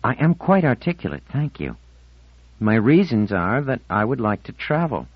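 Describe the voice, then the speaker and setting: A male narrator plays a defiant teenage girl in an audiobook story, raised well up in pitch. She is articulate and moody, insisting on her point with solemn indignation. The sound is slightly dull and very dry. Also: big pitch swings, normal speed, slightly rough, dry recording. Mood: haughty.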